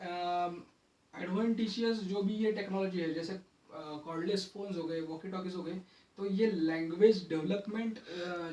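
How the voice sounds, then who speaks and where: A man with an Indian accent gives an informal explainer to viewers, halting at times and mixing in English terms. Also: high-pitched, darkish tone. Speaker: presenter of a parenting and child development video. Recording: echoey room, distant microphone.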